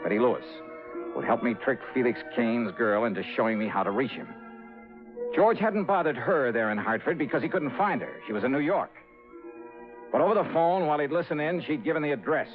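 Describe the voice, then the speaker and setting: A man's voice: mid-range voice, expressive, brisk, lightly acted. Man acting as hard-boiled American radio detective, tinny sound. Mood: tense narration. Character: brisk, wry, urgent male insurance investigator. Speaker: lead actor and narrator. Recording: tinny, band-limited old radio recording, faint music.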